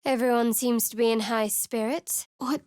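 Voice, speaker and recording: A woman's voice: a little high, steady tone, normal speed, a little mellow, dry recording, lightly acted. An actress playing a guarded young woman cleric in a video game, speaking in a dry, cutting, sardonic way. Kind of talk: video game character dialogue. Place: studio voice booth.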